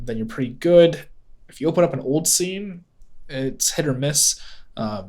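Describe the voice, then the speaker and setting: A man with a congested, nasal head-cold voice, casually hosting a live-stream tutorial and greeting chat viewers with plenty of ums. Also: medium pitch. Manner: loose and chatty, with frequent ums and self-corrections.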